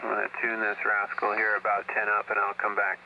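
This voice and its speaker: A man's voice: on the low side, monotone, a little fast. A man talking casually as an amateur radio operator, heard over a shortwave radio link. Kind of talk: casual ham radio chat. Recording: noisy, muffled shortwave radio signal.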